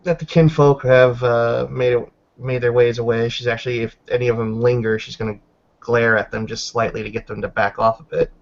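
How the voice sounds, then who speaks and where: A man with a mid-pitched voice narrates an improvised roleplaying game. He describes actions haltingly, piece by piece, and the recording is dull and lo-fi. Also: level tone.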